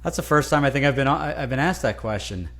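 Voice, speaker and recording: A man from New Jersey whose first language is Italian, speaking as a guest in a casual interview, animated and often restarting words. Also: mid-range voice, fast. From New Jersey, USA. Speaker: guest, franchise consultant.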